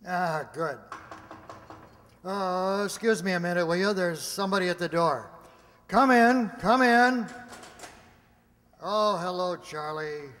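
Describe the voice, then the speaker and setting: Man playing high-voiced, businesslike security boss. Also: takes it slowly, long pauses, a bit bright, big-room sound. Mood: genial, businesslike. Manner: businesslike, clipped phrases. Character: busy, brisk-mannered security supervisor, genial but impatient. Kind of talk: radio drama acting.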